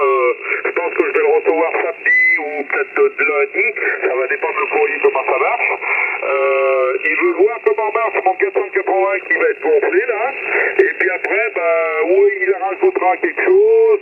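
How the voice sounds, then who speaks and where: Through heavy noise on a thin, tinny radio link, a man chats colloquially with fellow operators. His voice is fairly high and his manner teasing. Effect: radio transmission. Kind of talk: casual radio contact chat.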